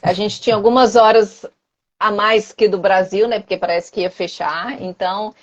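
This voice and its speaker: A woman's voice: medium pitch, steady tone, moderate pace, muffled recording. A woman in her thirties with a Brazilian Portuguese accent, chatting as an interview guest, often repeating and restarting phrases and adding tag questions. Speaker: interview guest, former student. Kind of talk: casual conversational interview.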